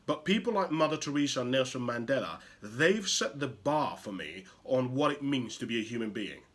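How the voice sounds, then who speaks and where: A man from Uganda hosting a motivational show, talking emphatically with rhetorical questions and swinging from loud to hushed. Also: medium pitch, animated, moderate pace. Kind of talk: impassioned motivational monologue.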